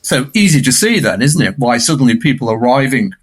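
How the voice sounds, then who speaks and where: A man's voice: medium pitch, big pitch swings, brisk. A man speaking as a podcast guest, explaining media history in an emphatic, discursive way.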